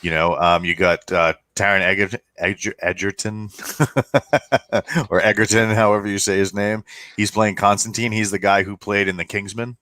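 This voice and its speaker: A male podcast co-host with an American accent and a very low but bright voice. He explains in casual, halting phrases, recorded close and dry with no room sound. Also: fairly even intonation, no echo.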